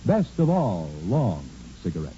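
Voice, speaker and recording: A man's voice: deep voice, huge pitch swings, unhurried. A man announcing a 1950s radio commercial in an emphatic sales style. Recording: dull, muffled old radio recording.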